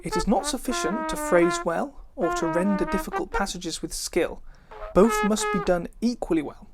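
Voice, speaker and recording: Low female voice, formal read-aloud, occasional stumbles, wildly swinging pitch, loud cornet playing throughout. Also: very animated, normal speed, over loud music. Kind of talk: reading an old instrumental method book introduction aloud. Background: live brass cornet playing.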